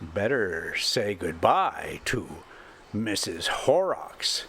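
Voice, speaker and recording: Older man reading as a slow, grim ironworks husband. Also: fairly low pitch, very animated, fairly bright tone, slight background noise, voice pushed down, exaggerated voice. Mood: grimly polite. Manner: slow, deliberate, halting. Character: grim, quietly menacing Victorian ironworks husband.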